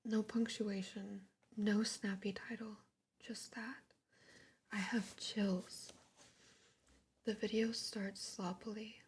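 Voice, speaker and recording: A woman whispering in a breathy voice, slowly reading a book aloud ASMR-style and chatting softly, close to the mic. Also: mid-range voice, flat delivery, slight background noise. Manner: soft, slow, reads aloud while greeting chat. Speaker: ASMR streamer.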